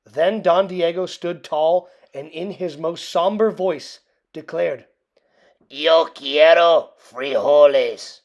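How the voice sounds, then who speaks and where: A woman reading a children's picture book aloud in an expressive, theatrical way with dramatic pauses. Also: on the low side. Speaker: storybook reader.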